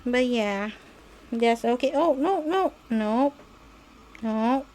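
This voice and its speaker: Slow, high-pitched woman chatting casually. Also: animated, a little mellow. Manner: non-native English phrasing. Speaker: podcast host. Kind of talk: casual rambling chat, with baby talk to pets.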